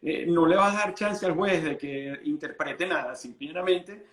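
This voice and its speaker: A Venezuelan-born lawyer explaining estate planning in an interview, patient and full of restarts. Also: male voice, fairly high pitch, a little slow, reverberant, a crowd in the background. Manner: explains patiently, many restarts and repeated words.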